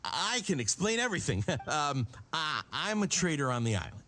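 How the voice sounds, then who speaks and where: A flustered, shifty game merchant with a high voice. He stammers and pleads, pitch leaping about as he talks. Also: sing-song, normal speed, sharp tone. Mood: flustered, pleading. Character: nervous, evasive male island merchant under accusation. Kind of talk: video game voice acting.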